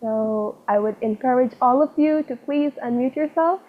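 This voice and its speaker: Young woman from Pakistan, higher voice, friendly and encouraging, tinny phone-like audio. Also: normal speed, slight background noise. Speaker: coaching manager. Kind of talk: leading an icebreaker online. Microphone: video call.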